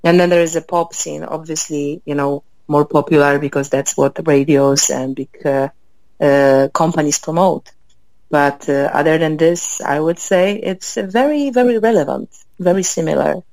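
A low-pitched woman with a Polish accent speaks as an interview guest, taking her time and filling gaps with small hesitations. The sound is close and dry, with no room around it. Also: moderate pace, darkish tone, dry recording, rough recording. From Poland. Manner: hesitant, many fillers, thoughtful. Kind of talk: conversational interview.

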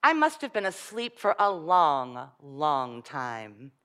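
A woman's voice: low voice, big pitch swings, takes it slowly, a bit bright, clean tone, voice pushed down, heavily acted. A woman voicing a tiny, cheerful male forest elf, with a sing-song, chirpy, playful manner. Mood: sleepy, friendly.

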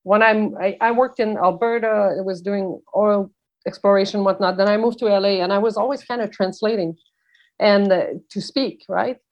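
A woman's voice: medium pitch, steady tone, moderate pace, muffled recording. Woman, curious. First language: French. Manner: curious, questioning. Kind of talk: casual conversation.

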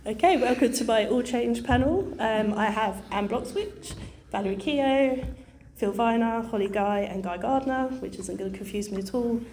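Gravelly-voiced woman moderating a panel. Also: a little high, normal speed, darkish tone, a little hiss or hum. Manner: friendly, chatty, with filler ums. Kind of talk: moderating a book festival panel. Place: hotel function room at a book festival. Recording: echoey hotel function room.

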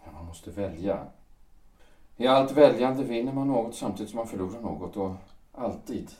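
An older man playing a lonely former lover in an audio drama, speaking in a reflective, halting way. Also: lowish voice, animated, recorded from a distance, close to an everyday voice. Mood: wistful. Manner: reflective, halting, breathy at the quiet ends of phrases. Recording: roomy echo, a little off-mic.

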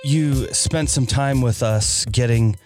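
A man's voice: mid-range voice, takes it slowly, a little mellow, faint music behind. A man hosting a podcast in a studio, introducing a guest in an easygoing way with many fillers. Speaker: podcast host. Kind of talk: casual podcast chat.

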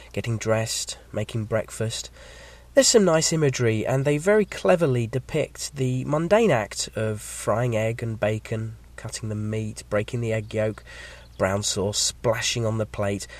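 Man with a croaky cold. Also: mid-range voice, a little mellow, dry recording. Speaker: podcast host. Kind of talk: scripted episode review.

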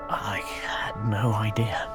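An actor playing an awkward, easily embarrassed cabin owner with a hesitant, halting way of talking, in a naturalistic audio drama. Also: medium pitch, little pitch movement, over music, natural voice. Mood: sheepish.

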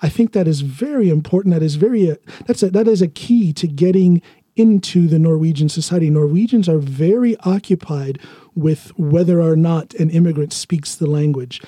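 A man's voice: fairly high pitch, moderate pace, warm tone, husky, dry recording. An American man hosting a podcast, chatting casually and bluntly, often laughing as he talks. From United States. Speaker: podcast host.

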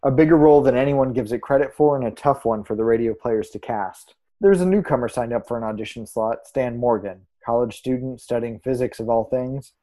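Casting: actor as measured theatre pundit, male, dark relaxed voice, analytical, easy scripted banter. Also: medium pitch, close to an everyday voice. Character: measured, analytical co-host giving casting odds. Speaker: co-host of a theatre prediction show. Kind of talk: scripted podcast banter and commentary.

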